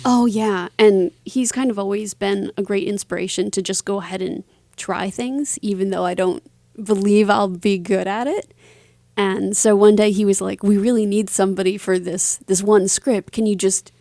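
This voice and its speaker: A woman's voice: mid-range voice, fairly even intonation, moderate pace, warm tone, no room sound. A woman speaking as an interview guest, telling her stories casually with a self-deprecating, lightly giggly manner. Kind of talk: casual conversational storytelling.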